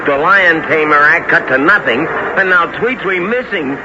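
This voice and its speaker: An actor playing a blunt, folksy circus ringmaster in a 1970s radio drama. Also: male voice, on the high side, unhurried, close to an everyday voice. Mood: worried, resigned. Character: pushy male circus ringmaster, businesslike and blunt. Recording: muffled, very noisy radio broadcast.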